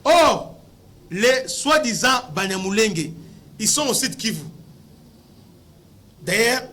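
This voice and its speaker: A man's voice: high voice, lively intonation, takes it slowly, sharp tone. A man with a Congolese accent giving impassioned political commentary, emphatic and full of rhetorical questions, often repeating words as he searches for the next. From Democratic Republic of the Congo.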